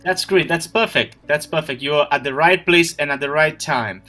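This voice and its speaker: A man hosts an online business webinar, warmly calling out attendees one by one. His voice is bright and forceful. Faint music plays behind him on the video call. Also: on the high side, normal speed. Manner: friendly, greets attendees by name. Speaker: webinar host. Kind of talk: welcoming webinar hosting.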